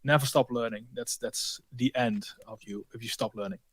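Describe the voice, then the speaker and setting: A man with a Dutch accent, a guest studio engineer speaking casually, halting with fillers. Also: mid-range voice, fairly even intonation, no room sound. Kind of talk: casual chat.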